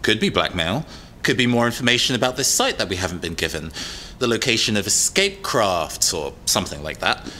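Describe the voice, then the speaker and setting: An actor playing a thoughtful, slightly uneasy young tech expert in an audio drama, explaining things in a hesitant, natural way. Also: male voice, fairly low pitch, very animated, fairly quick, sharp tone, a little hiss or hum. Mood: explanatory.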